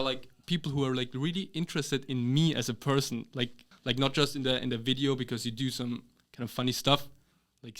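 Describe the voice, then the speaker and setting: A man's voice: mid-range voice, a bit bright. A man from Austria, a podcast guest, talking casually and hesitantly with many fillers. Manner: hesitant, full of fillers and restarts. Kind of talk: casual chat.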